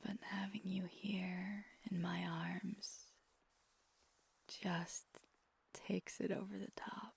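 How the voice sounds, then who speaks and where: Woman acting as affectionate vampire girlfriend, hushed breathy whisper, very crackly creak, gentle, intimate ASMR roleplay. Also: medium pitch, little pitch movement, slow pace, exaggerated voice. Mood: tender, soothing.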